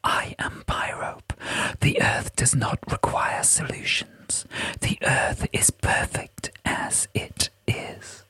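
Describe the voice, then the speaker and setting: A reader voicing an eerie, ancient female rock being in a slow, breathy, unvoiced whisper, solemn and disdainful, for an audiobook story. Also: pushed and bright. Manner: slow, solemn, drawn-out delivery.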